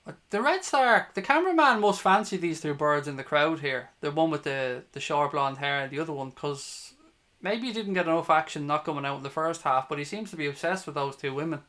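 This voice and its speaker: A man's voice: a little high, lively intonation, fairly quick, bright, forceful tone. A man with a Dublin Irish accent commentating live on football for a fan livestream, blunt, sweary and opinionated. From Ireland, from Dublin. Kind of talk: live football watch-along commentary, chatting with viewers.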